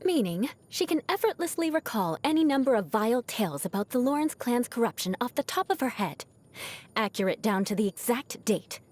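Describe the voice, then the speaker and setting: A woman voicing a proud young noblewoman knight in a video game, composed and haughty, with formal diction and a grudge-holding edge over a softer heart. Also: on the high side, sing-song, brisk. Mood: cool, vengeful. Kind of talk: scripted video game character voice lines.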